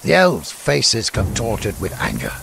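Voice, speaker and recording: A man's voice: on the low side, wildly swooping pitch, measured pace, gravelly, a bit bright, slight background noise. A man narrating a fantasy audiobook in a scripted, dramatic storytelling style. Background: music.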